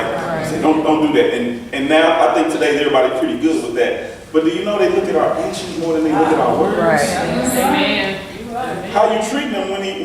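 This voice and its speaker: A man preaching a sermon, teasing his congregation and swinging between low intimate talk and shouted preaching. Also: slight background noise. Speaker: pastor. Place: large church hall. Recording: echoey hall from far off. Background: congregation responding.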